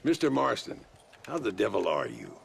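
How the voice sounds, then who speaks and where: An actor playing an aging, gruff, proud frontier gunslinger with a gravelly voice and a folksy, commanding delivery in a Western video game. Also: male voice, lowish voice, wildly swooping pitch, moderate pace, a little hiss or hum, exaggerated voice. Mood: earnest, genial. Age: older.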